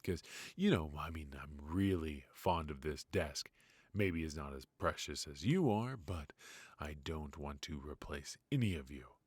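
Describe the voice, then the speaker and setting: Male actor as noir mafia boss, extremely low voice, unhurried murmur, coaxing with quiet menace, possessive, close and dry. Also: animated, slightly rough, no echo, heavily acted. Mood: coaxing concern. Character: brilliant, ruthless male mafia boss, possessive yet protective. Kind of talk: noir ASMR roleplay, intimate dramatic monologue. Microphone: close studio mic, dry.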